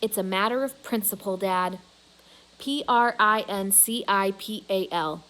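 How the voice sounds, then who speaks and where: A woman voicing an earnest, caring young girl who gently insists, in a children's novel read aloud. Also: medium pitch, unhurried, lightly acted, low-quality recording. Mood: earnest, hopeful.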